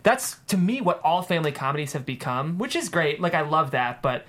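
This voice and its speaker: A man's voice: fairly high pitch, sing-song, brisk, fairly bright tone. A man hosting a casual panel discussion, chatty and conversational, often saying "like". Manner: chatty, frequent "like". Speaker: host and screenwriter.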